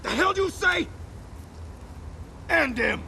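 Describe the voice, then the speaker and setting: A man's voice: very high voice, lively intonation, takes it slowly, vocal fry, pushed and bright, slight background noise, full character voice. A man playing an aggressive street mugger in a video game, curt, sneering and threatening. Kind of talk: cinematic video game acting.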